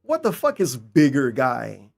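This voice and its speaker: Sarcastic, gravelly man reacting on stream. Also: mid-range voice, wildly swooping pitch, measured pace, faint music behind. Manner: incredulous, sarcastic, profane. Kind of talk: casual mocking reaction commentary.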